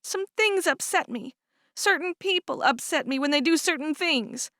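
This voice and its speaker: A woman's voice: high-pitched, animated, moderate pace, pushed and bright, dry recording, studio quality, voice pushed up. A woman reading aloud and voicing an upset, stubborn teenage farm girl who talks in a sulky, indignant way.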